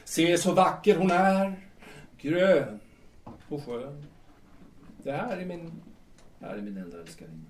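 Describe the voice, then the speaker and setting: A man's voice: a little high, expressive, long pauses, darkish tone, close to an everyday voice. A man playing a volatile, grandiose young playwright in an audio drama, agitated and theatrical, repeating himself. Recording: distant, echoey room with faint noise.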